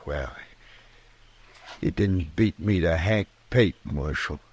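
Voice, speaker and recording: Vengeful defiant outlaw, American country accent, deep, drawn-out, sullen, old radio western. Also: male voice, a little mellow, breathy edge, dry recording, exaggerated voice. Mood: bitter. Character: vengeful, defiant Old West horse-thief gunman. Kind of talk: 1950s radio western acting.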